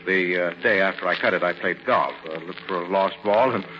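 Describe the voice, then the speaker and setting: An actor playing a nervous, evasive middle-aged professor, hesitant and stammering, in 1940s radio drama style. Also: male voice, sits low, fairly quick, faint music behind, natural voice. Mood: uneasy, evasive. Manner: hesitant, stammering, apologetic, uneasy chuckles. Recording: muffled, noisy old radio broadcast.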